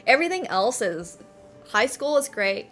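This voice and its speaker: A woman's voice: fairly high pitch, sing-song, normal speed, a bit bright, faint music behind. A young woman in her twenties whose first language is Finnish, chatting casually with her stream, laughing often and saying "like" and "bro" a lot. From Finland. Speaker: livestreamer.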